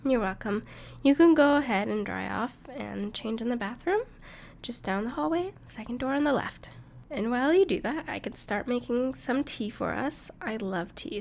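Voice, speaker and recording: A woman playing a gentle, caring dragon friend in an intimate audio roleplay, speaking reassuringly to the listener with some hesitation. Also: a little high, gravelly. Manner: soft-hearted, reassuring, hesitant with small laughs.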